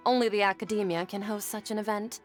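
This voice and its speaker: Game minor role played by a woman: strict official, middle pitch, formal, cold, by-the-book, music underneath. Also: fairly even intonation, fast talker, lightly acted. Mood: stern warning. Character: stern, dutiful female academy official. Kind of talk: video game voice acting.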